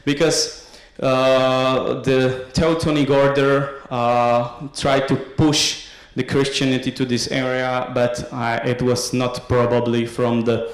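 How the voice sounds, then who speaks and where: A young man with a Slovak accent giving an academic conference talk, hesitant, with many filled pauses and restarts. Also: mid-range voice, level tone. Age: young adult. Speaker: conference presenter. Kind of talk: academic lecture. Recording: echoey room.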